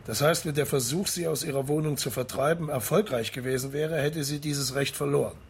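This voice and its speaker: An actor playing a polite, persistent Italian police investigator in a radio crime play, asking formal, matter-of-fact questions. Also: male voice, fairly high pitch, a little fast, gravelly, slightly rough. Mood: probing. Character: sober, persistent male Carabinieri investigator, polite and probing.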